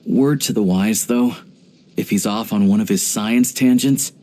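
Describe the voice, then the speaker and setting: A man voicing a video game character, an earnest, pragmatic young hunter and rifleman who talks in a measured, reflective way. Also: fairly low pitch, warm tone, close to an everyday voice. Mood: easygoing, wry.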